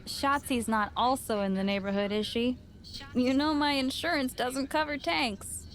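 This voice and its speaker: An actress playing a frank gym owner in a video game, mentoring with dry, teasing straight talk. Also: female voice, sits high, fairly even intonation, moderate pace, lightly acted. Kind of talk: video game cutscene dialogue.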